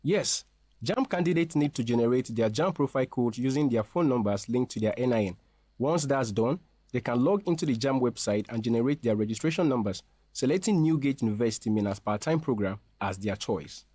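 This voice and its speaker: A man speaking as a representative in a scripted promotional interview, explaining things in a formal, measured way. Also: mid-range voice, animated, warm tone, slightly rough, no room sound. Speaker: university representative being interviewed.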